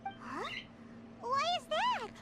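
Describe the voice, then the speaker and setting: A woman voicing a tiny, excitable, childlike fairy companion in a video game, chirpy and inquisitive. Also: very high-pitched, extremely slow, a crowd in the background, exaggerated voice. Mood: puzzled, alarmed.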